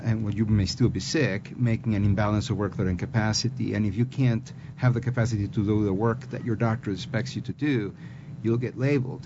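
A man with a Peruvian accent explaining a medical model on a webinar panel, thoughtful and full of fillers. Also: fairly low pitch. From Peru. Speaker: physician researcher. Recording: dull lo-fi audio with background hum.

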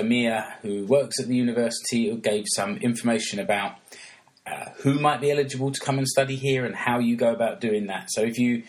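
A man hosting a casual interview podcast, talking in a relaxed way with many restarts and fillers. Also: mid-range voice. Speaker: podcast host.

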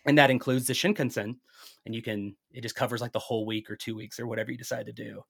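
A man's voice: medium pitch, steady tone, fast talker, creaky, a bit bright, slightly rough. A man speaking native English in a casual chat as a podcast guest, telling things in a rambling, storytelling way.

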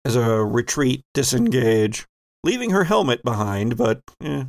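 A man running a tabletop role-playing game as game master, improvising vivid descriptive narration with thoughtful pauses. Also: mid-range voice, lively intonation, dry recording, clean studio sound.

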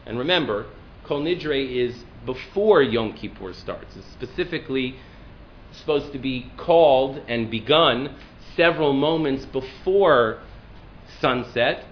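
A man with a deep voice, a rabbi giving a lecture, talking thoughtfully with restarts and fillers. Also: expressive, a little slow, lo-fi sound, slight background noise. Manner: thoughtful, self-deprecating, with frequent restarts and fillers. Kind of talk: classroom lecture.

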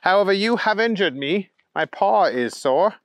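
A man's voice: high-pitched, expressive, normal speed, no echo, pitched above the performer's own voice. A man voicing a fussy, pompous, indignant male Easter Bunny in a children's story, with prim and formal lecturing. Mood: insistent, aggrieved.

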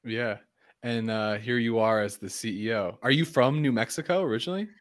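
A man hosting an interview, asking questions and giving short relaxed affirmations. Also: mid-range voice, fairly quick, no echo, clean studio sound. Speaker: interview host.

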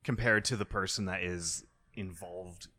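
A man interviewing someone in a relaxed, conversational style, putting questions informally. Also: low voice, a little slow.

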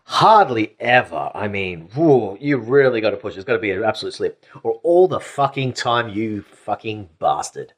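Man, podcast co-host, casual chat, animated pitch, drawn-out emphasis.

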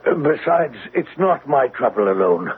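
An actor playing a distraught, remorseful elderly English banker in a radio drama, with an agitated, halting manner. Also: male voice, mid-range voice, big pitch swings, breathy edge, exaggerated voice. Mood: anguished. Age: older. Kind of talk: classic radio drama acting. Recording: tinny, band-limited old radio, faint hiss.

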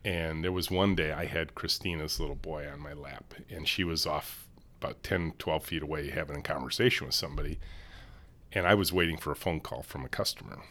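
A middle-aged man with a deep voice, a company leader telling stories in an interview and acting out dialogue. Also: a little fast. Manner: animated storyteller, acts out dialogue in higher voices. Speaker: CEO and co-founder, interview guest. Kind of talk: storytelling in a podcast interview.

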